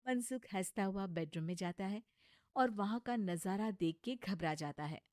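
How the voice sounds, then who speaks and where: एक महिला लिखी हुई कहानी को कथावाचक के रूप में सुना रही है।. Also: female voice, mid-range voice, moderate pace, darkish tone, dry recording, clean studio sound. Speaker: narrator. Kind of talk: scripted story narration.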